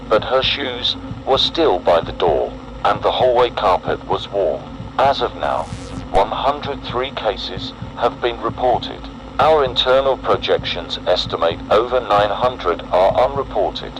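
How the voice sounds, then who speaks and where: Low-voiced man, ominous emergency-broadcast narration, noisy recording. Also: expressive. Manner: solemn, deliberate, ominous. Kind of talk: scripted analog horror emergency-broadcast narration.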